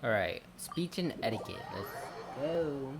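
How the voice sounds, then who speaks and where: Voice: medium pitch, big pitch swings, a little slow, background noise. An actor playing an easygoing, cautious young traveler in a video game. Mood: calm, cautious. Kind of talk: video game character acting. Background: game music.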